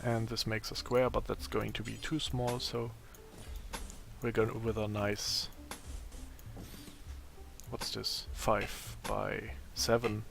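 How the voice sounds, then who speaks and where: A man with a raspy, tired voice giving casual gameplay commentary, thinking aloud as he plays. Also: lowish voice, monotone, a bit bright, soft background music. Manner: slow, thinking aloud while playing. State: voice strained from a long day of talking.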